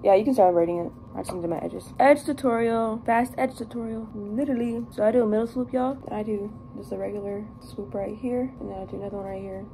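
Young american girl vlogger chatting casual n playful, normal pitch, bit of room noise. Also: fast, mellow. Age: young adult. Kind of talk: casual get-ready-with-me vlog chat. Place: hotel room, indoors. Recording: noisy room ambience.

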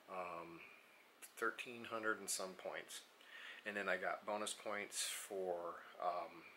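A man, chatting casually and haltingly to a handheld camera, often correcting himself. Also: deep voice, sharp tone, rough recording. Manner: rambling, halting, self-correcting. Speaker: vlogger, amateur radio hobbyist. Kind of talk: casual vlog chat. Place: indoors, basement workshop and house room. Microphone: camera at arm's length. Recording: background noise, handheld camera audio.

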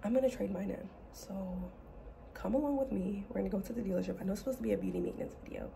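A woman's voice: mid-range voice, rapid-fire, vocal fry, mellow, a little hiss or hum. A woman vlogger talking casually and informally to her viewers, with chatty asides in a girly manner.

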